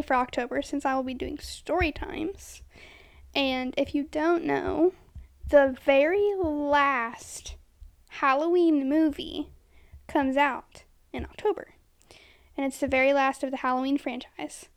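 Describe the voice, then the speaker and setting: High-voiced woman cohost, a bit of vocal fry, giggles a lot. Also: steady tone, takes it slowly. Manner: giggly, youthful-sounding, says she sounds twelve. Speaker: podcast co-host. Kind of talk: casual podcast chat.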